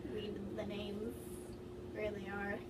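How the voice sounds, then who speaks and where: A woman's voice: mid-range voice, completely flat delivery, measured pace. A woman in casual, joking chat as a co-commentator. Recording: distant mic with clear background noise.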